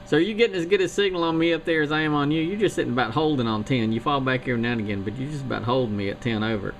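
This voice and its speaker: Gravelly quick-talking man over radio static. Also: medium pitch. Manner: easygoing, technical talk. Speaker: amateur radio operator. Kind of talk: casual radio chat. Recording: hissy shortwave radio signal.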